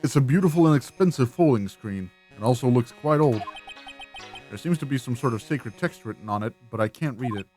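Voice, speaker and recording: A man's voice: medium pitch, animated, fairly quick, a little mellow, close to an everyday voice. A man voicing an earnest, easily flustered young defense lawyer in a video game, with a wry, hesitant, musing manner. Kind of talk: amateur video game voice-over. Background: game music.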